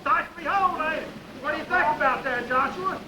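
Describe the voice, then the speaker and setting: A man's voice: very high voice, fairly quick, reverberant, a crowd in the background, voice pushed up. A man with a drawl playing a boastful backwoods father at an outdoor western street show, hollering his lines. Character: boastful rustic frontier father, middle-aged. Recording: noisy outdoor live show.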